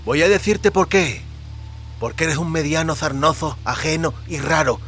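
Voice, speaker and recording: A man's voice: fairly high pitch, a bit bright, lightly acted. A man playing a hissing, sneering bandit villain over music. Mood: sneering menace. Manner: hissing, drawn-out, mocking threats. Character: sneering, lecherous, menacing human bandit on horseback. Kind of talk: audiobook character acting.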